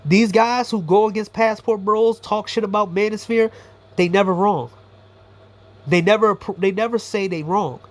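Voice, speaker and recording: A man's voice: high voice, moderate pace. A man giving a blunt, profane, rambling commentary monologue as a YouTuber.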